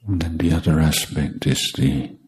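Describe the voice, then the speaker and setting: A man guiding a meditation as a teacher, speaking in short, deliberate, measured phrases with long pauses. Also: rumbling low, fairly even intonation. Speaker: meditation teacher, Buddhist monk. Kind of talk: guided meditation instruction.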